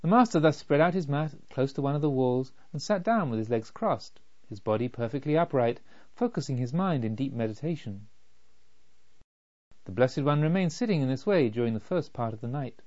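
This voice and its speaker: A man reading a literary story as a narrator, with measured, deliberate phrasing. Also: mid-range voice, lively intonation, a little mellow. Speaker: audiobook narrator. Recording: slightly dull, lo-fi.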